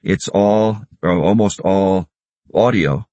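Give me a man with a low voice hosting a relaxed chat, dry and close. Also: slow pace, no room sound. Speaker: host. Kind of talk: conversational interview.

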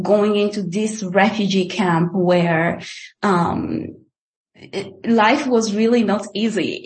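Slow-speaking woman from the Congo. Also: medium pitch, rough recording. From Democratic Republic of Congo. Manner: slow, hesitant, many pauses and fillers. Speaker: guest. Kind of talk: personal life story in an interview.

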